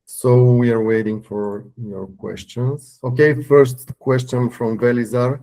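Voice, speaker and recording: A man's voice: mid-range voice, steady tone, slow pace, gravelly, dark tone, rough recording. A man moderating an earnings call, giving instructions and reading out submitted questions.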